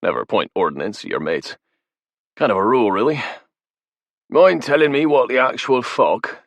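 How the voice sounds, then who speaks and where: A man's voice: low-pitched, very animated, a little fast, fairly bright tone, no room sound, heavily acted. A man voicing a cheeky, complaining male ex-army engineer. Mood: grumbling.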